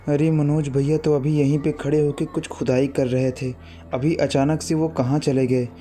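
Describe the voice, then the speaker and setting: A man's voice: on the high side, little pitch movement, normal speed, dark tone, lightly acted. A man voicing an anxious, questioning male traveller in a horror cartoon. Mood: alarmed.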